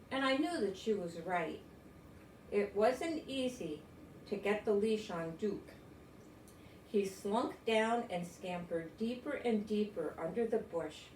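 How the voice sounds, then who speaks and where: An older woman from the United States reads a children's story expressively. Her voice is of medium pitch. It is picked up from a little way off in a roomy space, with faint noise. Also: expressive, a little slow, darkish tone, far from the mic. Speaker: storybook reader.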